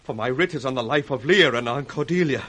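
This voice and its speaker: A husky, dying British nobleman, a proud villain turned repentant. He speaks at middle pitch with strained gasps, in classic radio Shakespeare manner. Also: fairly quick, pushed and bright. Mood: urgent remorse.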